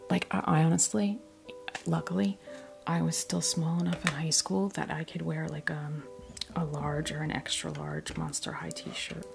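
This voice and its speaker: A woman chatting in a breathy, creaky whisper for an ASMR unboxing video, rambling hesitantly through little asides as the host. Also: low-pitched, flat delivery, moderate pace. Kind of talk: ASMR, soft rambling chat. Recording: music playing behind the voice. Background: clear background music.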